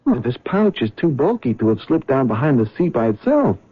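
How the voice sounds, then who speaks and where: A nervous, earnest cab driver husband from a 1970s radio drama. His husky voice sits a little high and swings widely in pitch as he frets and stammers. The sound is dull and lo-fi like an old broadcast. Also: sing-song, fairly quick. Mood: worried.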